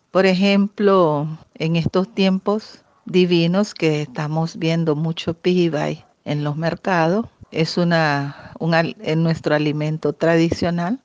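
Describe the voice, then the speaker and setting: A Miskito-speaking woman from Nicaragua, answering interview questions in an earnest, deliberate way. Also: sits low, darkish tone, no room sound. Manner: earnest, deliberate, frequent "¿verdad?" tag. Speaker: guest. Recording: muffled lo-fi audio.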